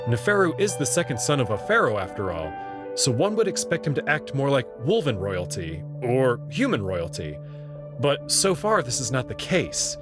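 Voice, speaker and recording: A man reading scripted narration and commentary as narrator and host, articulate and expressive. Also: medium pitch, moderate pace, music in the background.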